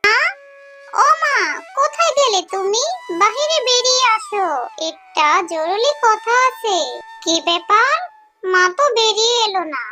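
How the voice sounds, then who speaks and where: A woman's voice: very high-pitched, bright, forceful tone, heavily acted. A woman voicing an anxious young fox girl in a children's cartoon, pleading with her mother in a childlike way. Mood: searching, calling. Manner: pleading, childlike, slow and deliberate. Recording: music behind the voice.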